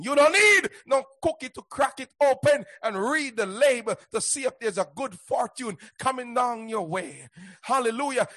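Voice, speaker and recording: A man preaching a fervent Pentecostal sermon in a husky, raspy voice, declaiming and punctuating his phrases with exclamations of praise. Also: very high voice, sharp tone.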